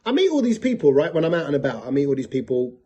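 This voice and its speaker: A man hosting a podcast in a casual, animated, jokey way. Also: a little high, very fast, breathy edge, dull audio. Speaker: podcast host.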